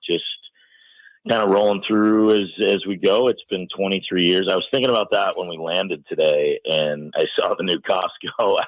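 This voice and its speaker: Deep-voiced middle-aged American man, dry studio sound. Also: no room sound, poor audio. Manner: joking, self-deprecating. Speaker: radio play-by-play announcer, interview guest. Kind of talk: casual radio chat.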